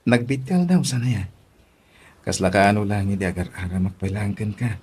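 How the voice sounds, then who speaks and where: A man's voice: fairly low pitch, a little fast, a little mellow, slightly rough. A man playing a sweet-talking, proud young boyfriend in a Filipino radio drama, crooning and a little hesitant. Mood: romantic.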